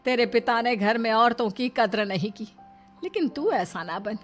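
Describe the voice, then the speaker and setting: Woman acting a plaintive, long-suffering mother-in-law, high voice. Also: lively intonation, normal speed, soft background music, natural voice. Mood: gentle, sorrowful. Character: long-suffering middle-aged mother-in-law, protective and pleading. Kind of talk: dramatised Hindi moral story.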